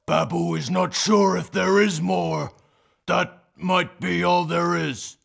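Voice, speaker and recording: A woman voicing a gruff, furry old monster grandfather who speaks in blunt, broken third person. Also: lowish voice, fairly even intonation, slow, full character voice. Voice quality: gravelly.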